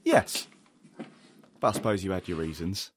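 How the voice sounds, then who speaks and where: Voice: sits low, lively intonation, moderate pace, a little hiss or hum. An actor playing a blunt but protective rebel ally in an audio drama, speaking earnestly. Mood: dry, teasing.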